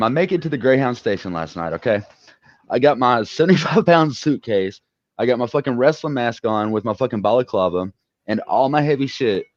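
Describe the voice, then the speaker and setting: A man's voice: mid-range voice, dry recording. A man swearing his way through a blunt story on a casual live stream. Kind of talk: profane storytelling. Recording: dull lo-fi sound.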